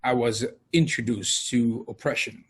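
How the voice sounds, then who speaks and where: A man's voice: mid-range voice, measured pace, slightly rough. A middle-aged man, a guest talking about his life in a casual interview, hesitant with many fillers. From France.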